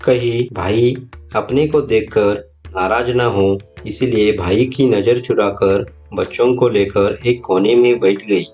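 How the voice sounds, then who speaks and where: A man's voice: fairly low pitch, steady tone, slow pace, darkish tone. A man narrating a scripted moral story as its storyteller. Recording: music bed behind the voice.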